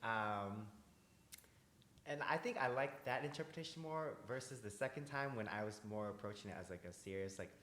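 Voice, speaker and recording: A man speaking thoughtfully in a casual group discussion, pausing often with ums. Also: lowish voice, a bit bright. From United States. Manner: thoughtful, hesitant with many ums. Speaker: actor. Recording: roomy echo, faint noise.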